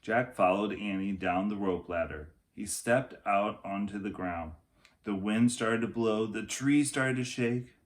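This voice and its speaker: A man narrating a storybook for children in the manner of a teacher reading aloud. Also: on the low side.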